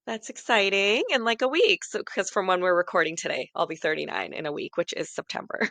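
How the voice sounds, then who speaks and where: A woman's voice: mid-range voice, lively intonation, brisk, a bit bright, no echo. A woman in her late thirties co-hosting a podcast, chatting casually and rambling with self-deprecating laughs. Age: 30s. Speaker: podcast co-host. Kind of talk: casual chat.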